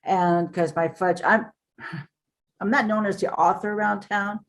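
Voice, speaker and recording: A woman's voice: mid-range voice, steady tone, measured pace. An older woman, a book author, talking in a casual interview, earnest and rambling with many fillers. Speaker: author and guest.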